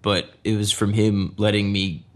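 Low-voiced man in rambling chat. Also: flat delivery, moderate pace. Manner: rambling, many fillers and restarts. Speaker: guitarist guest. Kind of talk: casual conversation.

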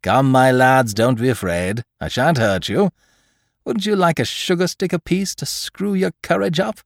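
A man voicing a suave gentleman thief in an audiobook, jaunty, mocking and grandly courteous. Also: medium pitch, wildly swooping pitch, a little slow, vocal fry, dry recording, studio quality, exaggerated voice.

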